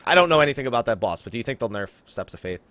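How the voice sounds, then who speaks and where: A man's voice: mid-range voice, sing-song, rapid-fire, a bit bright, dry recording. A man hosting a gaming podcast, chatting casually and emphatically and reading out listener questions. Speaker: podcast host. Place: indoors, online voice call.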